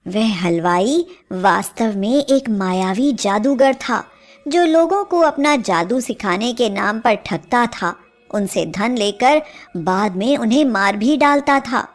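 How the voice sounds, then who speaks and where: A woman tells a scripted fairy tale in a very high voice. Her delivery is slow and measured, with faint music playing underneath. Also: expressive. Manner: measured storytelling. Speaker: storyteller.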